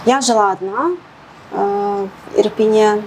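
A young woman from Ukraine, in her late twenties, talks in a soft, hesitant way, often pausing with small fillers. She sits in a cramped kitchen, recorded on a lapel mic, with a faint hiss of room noise behind her. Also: mid-range voice, fairly even intonation, poor audio. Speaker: interviewee. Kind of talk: documentary interview.

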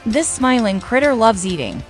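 A woman's voice: on the high side, big pitch swings, normal speed, faint music behind. A woman hosting a quiz in scripted narration. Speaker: quiz host.

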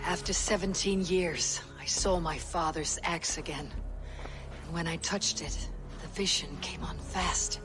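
Viking warrior, plain-spoken, steady, clear music behind. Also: female voice, deep voice, unhurried. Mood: hesitant. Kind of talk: video game cutscene.

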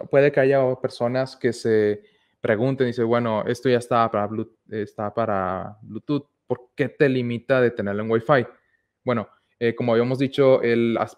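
A man's voice: mid-range voice, clean tone. Hesitant young man. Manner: hesitant, many fillers and restarts. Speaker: meetup speaker. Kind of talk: casual technical presentation. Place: small room with whiteboard, indoors. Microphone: headset with boom mic.

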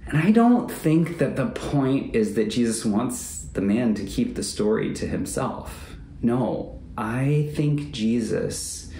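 A man in his forties preaching a reflective sermon as a pastor, speaking in a measured, deliberate way and repeating phrases for emphasis. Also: mid-range voice, big pitch swings, mellow. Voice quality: gravelly, creaky. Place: book-lined home office or study, indoors. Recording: roomy echo, faint background noise.

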